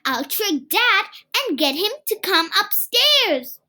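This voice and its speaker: A woman voicing a mischievous little boy who won't sleep, squealing, yelling and giggling through his pranks. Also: very high-pitched, sing-song, slow, voice pushed up, heavily acted. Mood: gleeful.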